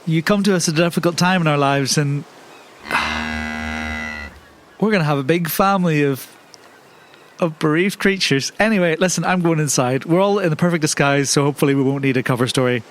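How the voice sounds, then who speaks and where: A man's voice: on the high side, big pitch swings, normal speed, long pauses, fairly bright tone, a little hiss or hum. A man playing a tabletop roleplaying game, improvising dialogue in character with dramatic earnestness.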